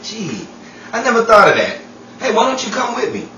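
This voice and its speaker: Guy doing an excitable wisecracking 1940s radio comedy sidekick, lively pitch, sounds far from the mic. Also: normal speed, dull audio, exaggerated voice. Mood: wry. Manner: theatrical, jumpy, wisecracking. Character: excitable wisecracking Hollywood freeloader pal, adult man. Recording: roomy, distant, very noisy amateur recording.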